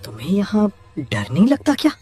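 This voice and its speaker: A woman's voice: medium pitch, huge pitch swings, brisk, dark tone, soft background music. A woman voicing a suspicious, demanding man in a horror cartoon story, questioning and insistent. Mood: suspicious, urgent. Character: demanding, suspicious man in a haunted-house tale.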